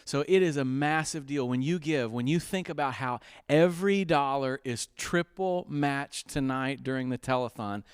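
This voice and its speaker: A man with a smooth, resonant voice of middling pitch, hosting a charity telethon. He pleads earnestly and brightly for donations, often restarting his sentences and filling pauses with uhs. The sound is close and dry. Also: no echo. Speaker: fundraiser host. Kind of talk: telethon appeal.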